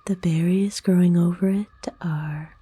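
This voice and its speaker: A woman acts out a kind witch of the woods for an ASMR roleplay. Her voice is a soft, extremely breathy whisper, mellow in tone and a little low. She speaks in a soothing, gently old-fashioned and playful way, like a caring host. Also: fairly even intonation. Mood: curious, concerned. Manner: soothing, folksy, unhurried. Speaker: ASMR voice actor.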